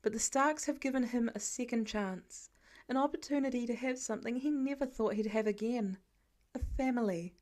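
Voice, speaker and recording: A woman's voice: a little high, normal speed, darkish tone. A woman reading a scripted story aloud as an audiobook narrator.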